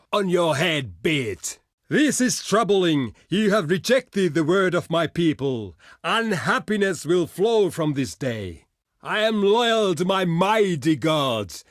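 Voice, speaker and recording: An actor voicing a proud, gruff barbarian warrior envoy in a video game, blunt and boastful, declaiming in archaic, formal phrases. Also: male voice, on the high side, dry recording, clean studio sound. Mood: grave disappointment. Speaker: diplomat character in a strategy game. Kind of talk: theatrical video game voice lines.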